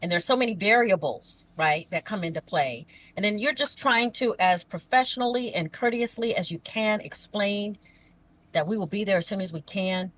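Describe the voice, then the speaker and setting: A woman's voice: mid-range voice, normal speed, fairly bright tone, low-quality recording. A woman chatting casually as a podcast co-host and emergency dispatcher, with a folksy, emphatic manner that repeats phrases for effect.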